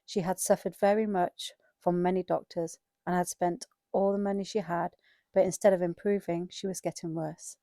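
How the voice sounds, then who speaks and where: A woman's voice: fairly low pitch, steady tone, moderate pace, warm tone, no echo, clean studio sound. A woman giving a scripted narration of a dramatized Bible reading.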